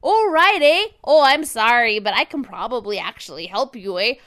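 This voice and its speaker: A woman's voice: a little high, lively intonation, moderate pace, a bit bright. A woman voicing a meek, rambling Canadian man who knits and loves hockey, apologizing often and tagging phrases with "eh". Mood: eager, apologetic.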